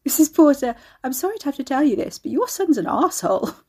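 A woman's voice: high-pitched, sing-song, fast talker, a little mellow, pitched above the performer's own voice. A woman voicing a blunt, agitated, defiant young woman in an audiobook story. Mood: hysterical, defiant.

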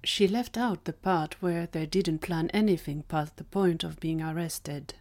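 Woman narrator for a fan audio story, slightly low voice, scripted delivery. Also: a little slow, darkish tone.

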